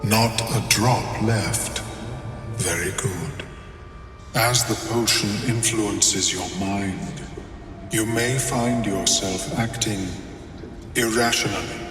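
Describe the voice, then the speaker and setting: A slow, echoing, scholarly mind flayer. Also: male voice, on the low side, a bit bright. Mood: calm, courteous. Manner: measured, formal, precise diction. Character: calm, scholarly, courteous mind flayer alchemist, an otherworldly male creature. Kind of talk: video game character acting. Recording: echoing reverberant hall, distant, with music.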